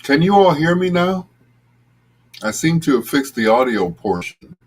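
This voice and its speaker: A man's voice: a little high, very animated, measured pace, a little hiss or hum. A man hosting a casual livestream, greeting viewers by name in a folksy way. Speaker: host of a bedtime stories livestream.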